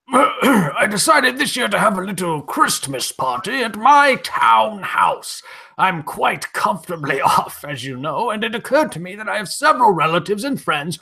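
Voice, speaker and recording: A man playing a well-off, earnest and troubled lord with a raspy voice in an old-time radio drama spoof. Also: high-pitched, very animated, a little slow, pushed and bright, exaggerated voice.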